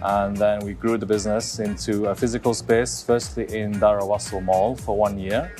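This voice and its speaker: A man's voice: fairly low pitch, flat delivery, normal speed, a little mellow, soft background music. Man from Hong Kong speaking to camera. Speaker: restaurant co-founder and chef. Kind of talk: to-camera interview segment. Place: restaurant dining room. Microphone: lapel.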